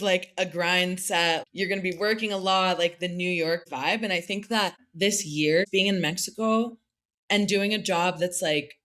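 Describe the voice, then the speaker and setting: A woman's voice: lowish voice, a bit bright. Young woman musing in an interview. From United States. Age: young adult. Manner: thoughtful, many "like" fillers, light laughs. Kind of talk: reflective interview answers.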